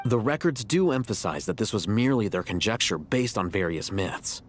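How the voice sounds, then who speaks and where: An actor playing a cool, rational scholar in a video game, blunt, deadpan and matter-of-fact. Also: male voice, on the low side, moderate pace, soft background music, lightly acted. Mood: explanatory. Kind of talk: video game dialogue acting.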